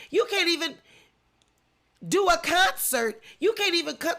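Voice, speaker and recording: A woman's voice: high-pitched, vocal fry, a bit bright. A congested woman with the flu giving indignant, emphatic celebrity commentary in a vlog. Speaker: commentary vlogger.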